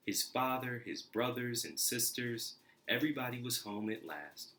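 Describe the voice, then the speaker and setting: A man reading a children's storybook aloud in an expressive, deliberate narrating style. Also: mid-range voice. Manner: expressive, deliberate, acts out sounds. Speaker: storybook reader.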